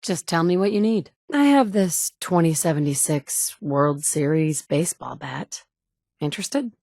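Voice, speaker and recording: A woman's voice: sits low, expressive, a little slow, a little mellow, dry recording, clean studio sound, exaggerated voice. A woman voicing a blunt, pragmatic survivor in a video game, speaking in terse, matter-of-fact lines. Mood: steady. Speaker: player character in a video game.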